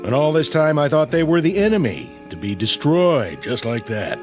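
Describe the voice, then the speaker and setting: An actor playing a hard-bitten, sarcastic army sergeant who needles people, in a radio drama. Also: male voice, on the high side, expressive, normal speed, music in the background, close to an everyday voice. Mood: bitter sarcasm. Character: hard-bitten, sardonic army sergeant baiting his lieutenant.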